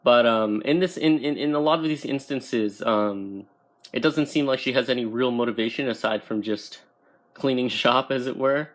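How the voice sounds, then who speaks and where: A man hosting an informal explainer video about comics, speaking haltingly as he searches for his words. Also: medium pitch. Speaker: YouTube comics explainer host.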